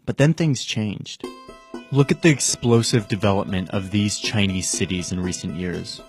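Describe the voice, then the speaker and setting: A man narrating a scripted documentary explainer in a clear, explanatory way. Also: on the low side, moderate pace, faint music behind.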